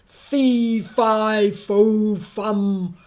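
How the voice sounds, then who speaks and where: A woman voicing a huge, hungry male giant, roaring and chanting threats slowly. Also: fairly even intonation, darkish tone. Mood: menacing, roaring. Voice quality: booming, roaring. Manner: slow, menacing, drawn-out chanting.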